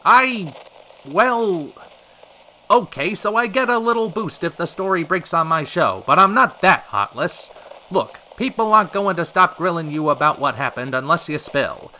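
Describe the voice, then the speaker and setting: An actor playing a showy radio host of a retro variety hour, with polished, theatrical announcer patter in an old-time radio style. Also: male voice, high-pitched, expressive, moderate pace, pushed and bright, exaggerated voice, rough recording. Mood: persuasive. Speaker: radio show host and interviewer.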